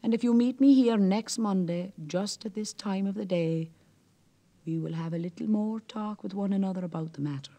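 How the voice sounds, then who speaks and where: A man's voice: high voice, normal speed, warm tone, pitched above the performer's own voice. A man voicing a sly, jovial old male merrow who talks in a knowing, wheedling way.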